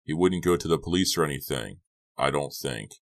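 A level-headed teenage boy who takes charge and can talk tough, read by a male narrator. The voice is very deep, firm and steadying, in storybook dialogue. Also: animated, fairly quick, creaky, full character voice. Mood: reasoning.

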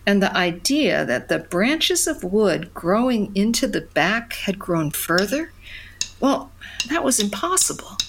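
An actress playing a wistful, wonderstruck woman who tells her memories conversationally in an audio drama, with halting repeats. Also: mid-range voice, sing-song, noisy background. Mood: wistful wonder. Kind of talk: first-person storytelling in an audio drama.

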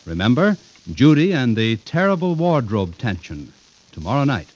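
Animated American man, vintage radio announcer. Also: mid-range voice, normal speed. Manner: polished, formal. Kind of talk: 1950s radio announcing, scripted. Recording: muffled vintage radio recording.

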